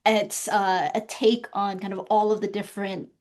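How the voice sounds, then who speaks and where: A woman whose first language is Vietnamese speaks as a podcast guest, weighing her words and hedging with small fillers. Her voice sits at a middling pitch for a woman. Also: flat delivery, moderate pace, a little mellow. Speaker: guest filmmaker. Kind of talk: casual interview talk.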